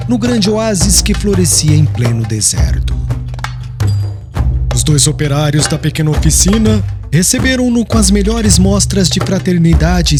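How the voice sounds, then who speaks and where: A man narrating an audiobook in an expressive, melodic reading style. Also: on the high side. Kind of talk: scripted narration. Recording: narration over background music.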